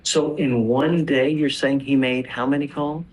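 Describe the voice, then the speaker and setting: A man's voice: medium pitch, fairly even intonation, unhurried, a little mellow, poor audio. A man speaking as a judge presiding over a court hearing, firm and blunt.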